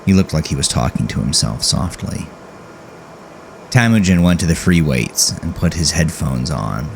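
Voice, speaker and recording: Very deep gravelly man narrating horror. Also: expressive, slight background noise. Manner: grave, measured, dramatic delivery with drawn-out words. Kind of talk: scripted dark horror story narration.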